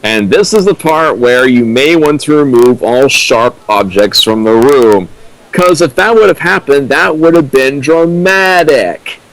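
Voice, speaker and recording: A man's voice: medium pitch, lively intonation, unhurried, low-quality recording. A man giving casual, critical commentary as a co-commentator, with a dry manner.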